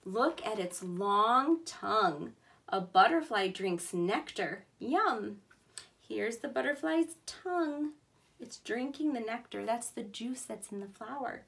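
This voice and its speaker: A woman in her thirties speaks in a fairly high voice with a noticeable creak. She reads aloud like a preschool teacher, keeping her phrases simple and stressing key words for small children. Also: animated, slow, a bit bright. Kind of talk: read-aloud for young children.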